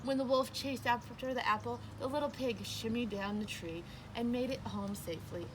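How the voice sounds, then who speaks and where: A woman reading a children's picture book aloud as a storyteller, with expressive, emphatic narration. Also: fairly high pitch, normal speed, background noise.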